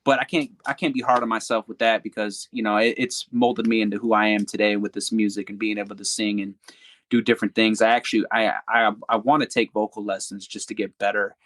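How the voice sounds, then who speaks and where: A man's voice: fairly low pitch, level tone, a little fast, fairly bright tone, no echo, studio quality. A man on a podcast as a guest artist, talking in a candid, rambling way with restarts and fillers. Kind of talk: casual interview chat.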